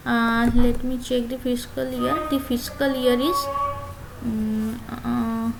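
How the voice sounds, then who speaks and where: A woman giving a spoken screen-share software tutorial as instructor, phrasing haltingly with many filler pauses and repeating phrases step by step. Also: a little high, flat delivery, gravelly, noisy background.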